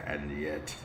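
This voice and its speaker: A man's voice: very deep voice, exaggerated voice. A man playing a grim, driven vigilante crime-fighter in an audio drama, brooding and solemn in terse lines. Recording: roomy echo, distant, clear background noise.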